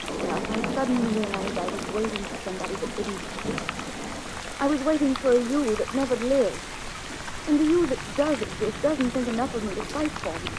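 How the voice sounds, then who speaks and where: A woman playing a hurt but resolute young fiancée in an old-time radio drama, earnest, halting and melodramatic. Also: a little high, animated, very noisy, close to an everyday voice. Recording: old radio recording under loud rain.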